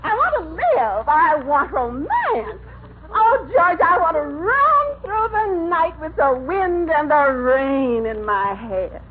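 Very shrill-high melodramatic radio wife. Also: female voice, sing-song, takes it slowly, full character voice. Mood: exasperated yearning. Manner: plaintive, theatrical, ungrammatical. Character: romantic, melodramatic, dissatisfied nagging wife. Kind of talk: 1940s-50s radio sitcom acting. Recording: tinny old radio recording, hiss.

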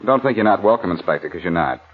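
A man's voice: deep voice, animated, fast, slight background noise. An American actor playing a cool, wisecracking male amateur sleuth in a glib, teasing 1940s radio style. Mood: flippant, teasing. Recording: muffled old-time radio recording.

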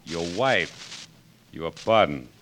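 A Spanish army lieutenant in a 1940s radio play. He speaks low, formally and with measured sternness, through light vintage recording noise. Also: animated, a bit bright, full character voice. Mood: stern, formal. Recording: faintly noisy old radio recording.

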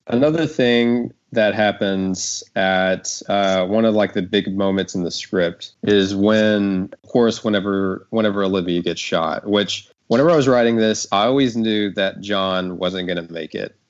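A man's voice: lowish voice, normal speed, hardly any pauses. A man hosting a casual podcast discussion, talking in a rambling, hesitant way. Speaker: podcast host, writer. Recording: dull, lo-fi audio.

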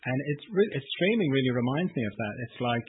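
A man's voice: medium pitch, very animated, fast, husky. A middle-aged Irish man with a slight Australian tinge, chatting casually and self-mockingly as a podcast host over a video call. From Ireland. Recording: tinny call line with faint hiss.

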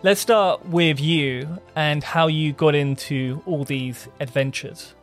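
A man hosting an adventure podcast, speaking with a measured, welcoming presenter manner. His voice sits somewhat high for a man, and faint music hums underneath. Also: fairly even intonation. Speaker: podcast host. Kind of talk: podcast interview and scripted intro.

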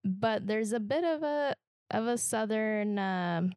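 A woman from Kansas with a Midwestern American accent, co-hosting a podcast in a reflective, halting way that often restarts phrases. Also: mid-range voice, animated, darkish tone, clear, resonant tone, no room sound, clean studio sound. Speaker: podcast co-host. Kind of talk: casual podcast conversation.

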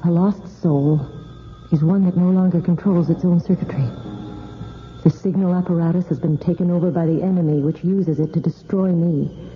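A woman playing a possessed figure in a radio drama preview, speaking fast and eerily. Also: on the low side, steady tone, faint music behind, close to an everyday voice. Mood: eerie. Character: possessed woman describing a lost soul. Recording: lo-fi radio recording with faint noise.

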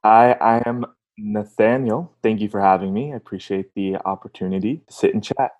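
A man's voice: fairly low pitch, fairly even intonation, normal speed, darkish tone, highs cut off. A man in his late twenties from Virginia, a podcast guest who talks in a rambling, hesitant, self-mocking way. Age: late 20s. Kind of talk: casual podcast chat.

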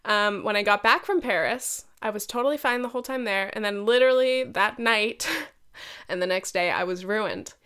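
A woman's voice: medium pitch, normal speed, fairly bright tone, smooth. An American woman in her twenties with a nasal, congested voice from a head cold, chatting casually and slangily as a solo podcast host. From United States. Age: 20s. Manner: slangy, sweary, self-mocking. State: getting over a head cold.

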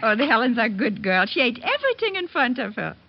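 Husky, doting old mama. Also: female voice, on the high side, sing-song, quick pace. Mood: fond, fussing. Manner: motherly, fussing, coaxing. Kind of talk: 1950s radio drama acting. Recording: muffled vintage radio recording.

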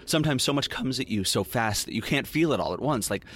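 An actor playing a world-weary, wry detective in a noir audio drama, brooding and sardonic. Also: male voice, mid-range voice, expressive, fast, fairly bright tone. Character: world-weary, wry male detective narrating his story. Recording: faint music behind the voice.

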